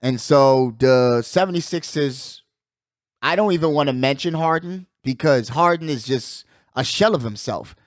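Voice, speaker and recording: A man hosting a casual sports talk show, giving animated, slangy commentary and repeating phrases for emphasis. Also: mid-range voice, a little slow, no room sound, clean studio sound. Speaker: sports podcast host.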